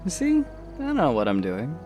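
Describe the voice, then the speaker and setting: A man playing a reserved but teasing young adventurer in a fan audio drama, casual and a little halting. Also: on the high side, huge pitch swings, dull audio, over music. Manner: casual, halting when sincere.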